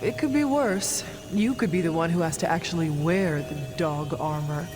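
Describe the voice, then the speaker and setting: Guy doing serious young demon hunter in a game, kinda high voice, formal talk, music under it. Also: normal speed, lightly acted. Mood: earnest. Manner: formal, uncontracted, stately phrasing. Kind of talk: video game cutscene acting. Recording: loud game music behind.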